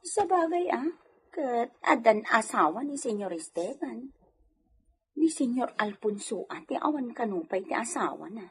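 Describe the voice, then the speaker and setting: A woman's voice: a little high, big pitch swings, a little slow, slight background noise. A woman playing a deferential, wistful young village woman in an Ilocano comedy radio drama, speaking respectfully and expressively. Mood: sighing, wistful.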